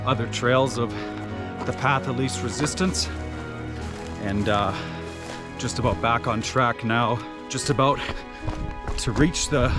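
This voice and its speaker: A man with a naturally loud voice giving casual commentary outdoors on a mountain trail, relaxed, with many filler uhs. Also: medium pitch. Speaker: trail running vlogger. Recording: music playing behind the voice.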